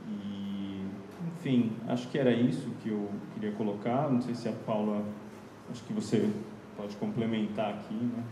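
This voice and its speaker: A man speaks as a seminar guest in a soft, tentative way. His voice is mellow and mid-pitched. The sound comes from an echoey lecture room with faint noise. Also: fairly even intonation, moderate pace. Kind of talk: lecture talk.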